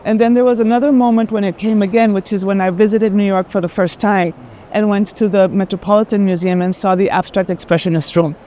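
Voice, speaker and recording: A woman with a Colombian accent, speaking thoughtfully in conversation on an art fair tour, often pausing and repeating words. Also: medium pitch, fairly even intonation, warm tone. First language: Spanish. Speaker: art advisor. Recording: faint urban ambient noise.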